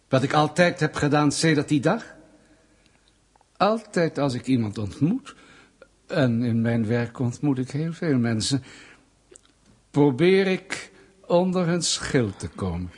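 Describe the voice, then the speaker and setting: Husky, menacing secret police interrogator. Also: male voice, mid-range voice. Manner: insinuating, deliberate, storytelling with pointed pauses. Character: menacing, mock-polite male Soviet secret police interrogator. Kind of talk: radio play acting.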